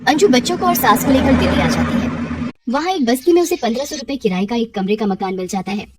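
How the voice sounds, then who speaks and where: A woman's voice: a little high, lively intonation, fast talker, heavy background noise. A woman reading a scripted children's story with dramatic delivery. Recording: roomy echo, distant from mic.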